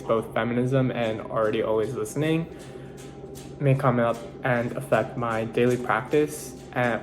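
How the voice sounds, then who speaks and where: A man's voice: mid-range voice, rough recording. Earnest young man reflecting aloud. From Philippines. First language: Tagalog. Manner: earnest, measured, with self-corrections and fillers. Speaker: nursing student. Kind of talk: reflective personal monologue.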